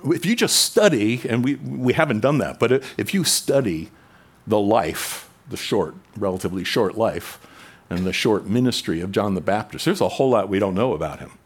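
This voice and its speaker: A man preaching a sermon in a conversational way, rising in pitch and volume for emphasis. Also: lively intonation, normal speed, slightly rough. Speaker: pastor.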